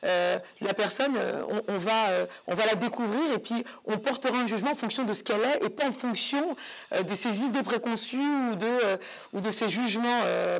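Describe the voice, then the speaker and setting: A woman's voice: mid-range voice, rough recording. Hesitant woman hosting a talk show. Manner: hesitant, searching for words, repeating phrases. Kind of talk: conversational interviewing.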